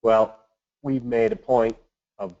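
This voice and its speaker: A man giving a prepared financial market update as an analyst, in a measured, formal presenting manner. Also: medium pitch, very animated, gravelly, darkish tone. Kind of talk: prepared market commentary on a conference call. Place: conference call webinar. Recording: dull, lo-fi conference call audio.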